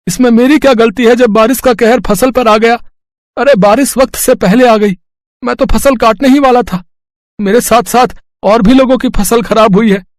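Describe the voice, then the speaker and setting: A man acts out a poor village farmer with a very high-pitched voice. He is humble and earnest, as in a dramatised moral tale. The sound is close and dry. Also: no echo. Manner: earnest, deferential pleading. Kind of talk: dramatised moral story acting.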